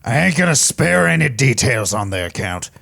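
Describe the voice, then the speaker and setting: Gruff gravelly griffin gunslinger, raspy drawl, stern audio drama tough guy. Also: mid-range voice, very animated, normal speed, a bit bright, exaggerated voice. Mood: grim, unsparing. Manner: drawling folksy tough talk.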